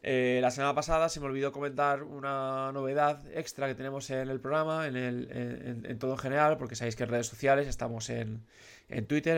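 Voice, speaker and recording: A man's voice: mid-range voice, creaky. A man in his thirties hosting a radio show, nasal and congested from a cold, rambling with many fillers and restarts. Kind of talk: casual radio talk.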